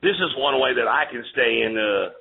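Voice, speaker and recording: A man's voice: mid-range voice, lively intonation, normal speed, gravelly, slightly rough. A man in his forties co-hosting a casual talk show, bantering in a joking, laid-back way. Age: 40s. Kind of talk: casual chat. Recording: tinny lo-fi radio stream with faint hiss.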